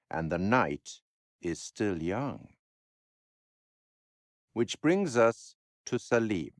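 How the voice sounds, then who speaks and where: An actor playing an urbane, sardonic male curator in a video game, narrating others' fates with formal, wry, theatrical delivery and dramatic pauses. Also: lowish voice, dry recording, studio quality, full character voice. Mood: ominous, musing.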